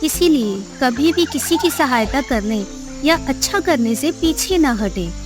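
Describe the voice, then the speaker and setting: High-voiced woman narrating a children's story. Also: animated, measured pace, over music.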